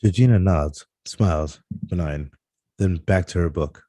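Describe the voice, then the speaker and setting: Deep, mellow man reading stage directions. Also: no echo, clean studio sound. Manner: halting read-aloud with stumbles over words. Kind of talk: scripted narration at a table read.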